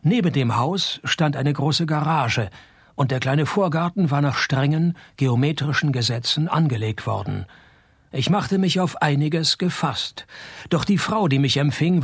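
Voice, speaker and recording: A gravelly, private detective in a radio play. He is jaded and wisecracking, delivering everything deadpan at a middling pitch, with music in the mix. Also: measured pace, no echo. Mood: dry narration. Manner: dry, deadpan, sardonic. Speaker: detective narrator and lead. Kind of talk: radio play narration and dialogue.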